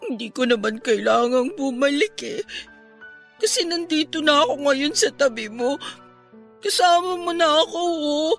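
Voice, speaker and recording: Woman acting a high, bright, devoted young woman. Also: animated, unhurried, faint music behind, full character voice. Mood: tender, hopeful. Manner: emotional, heartfelt. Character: devoted, hurt young woman, loyal to troubled ex-boyfriend. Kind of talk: emotional Filipino radio romance drama acting.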